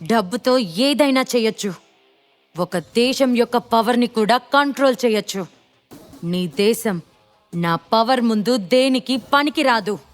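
A woman's voice: on the high side, animated, moderate pace, hardly any pauses, faint music behind, pitched above the performer's own voice, close to an everyday voice. A woman voicing an arrogant, vengeful rich male villain who makes haughty, taunting threats in an audio story.

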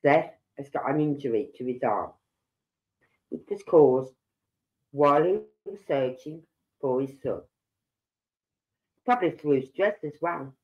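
A middle-aged man, slow and rambling as he hosts a livestream chat with a head cold and sore throat. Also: on the high side. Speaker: livestream host. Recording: dull lo-fi sound.

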